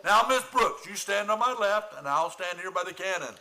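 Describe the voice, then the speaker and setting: An actor playing a blustering, pompous middle-aged school principal in a comic radio play. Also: male voice, high voice, lively intonation, normal speed, a crowd in the background. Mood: bossy, excited.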